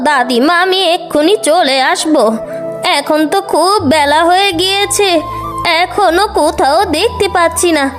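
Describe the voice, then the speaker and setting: A woman's voice: extremely high pitch, a little slow, over music. A woman playing a fretful, doting old village grandmother in a cartoon, speaking in plaintive, wailing exclamations. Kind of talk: dramatic cartoon voice acting.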